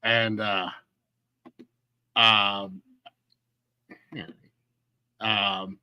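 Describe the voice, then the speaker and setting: A man's voice: lowish voice, little pitch movement, extremely slow, sharp tone, clean tone, no room sound, rough recording. A man hosting a casual interview on a video call, friendly and stammering, and he laughs often. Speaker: podcast host. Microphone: video call setup.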